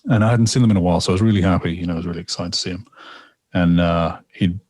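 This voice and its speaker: A man's voice: deep voice, steady tone, racing, a little mellow, breathy edge, no echo. A man from England, a guest storyteller talking casually on a podcast, rambling and reflective with many repetitions and fillers. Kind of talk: casual podcast conversation, telling a personal story.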